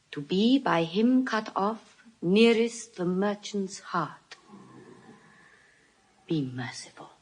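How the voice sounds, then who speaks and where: An actress playing an eloquent, solemn young lawyer in an audio Shakespeare drama, measured and earnest, often dropping to hushed emphasis. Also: female voice, mid-range voice, low-quality recording. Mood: firm, grave. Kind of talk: classical stage acting in audio Shakespeare.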